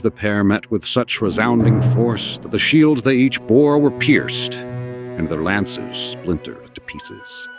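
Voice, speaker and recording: A man narrating a scripted legend as a dramatic storyteller, in a formal, literary and theatrical manner. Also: on the low side. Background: orchestral score.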